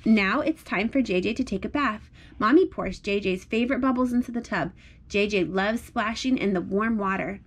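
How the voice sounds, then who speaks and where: A woman's voice: medium pitch, normal speed. A woman reading a picture book aloud to a small child at home, in an encouraging, sing-song way with simple repeated phrases. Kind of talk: child-directed storybook read-aloud. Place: indoors at home, on a blanket.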